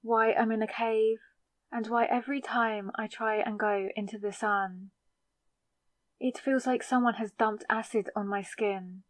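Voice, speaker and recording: A woman's voice: a little high, fairly even intonation, measured pace, dry recording, close to an everyday voice. A woman acting in an audio roleplay as a confused, hungover new vampire, wary and sarcastic, muttering in exasperation to herself. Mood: confused, demanding.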